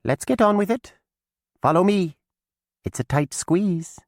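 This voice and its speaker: Sly young witch girl, voiced by a male narrator, high and sharp, bossy. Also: lively intonation, unhurried, no room sound, studio quality. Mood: commanding. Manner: sharp, commanding.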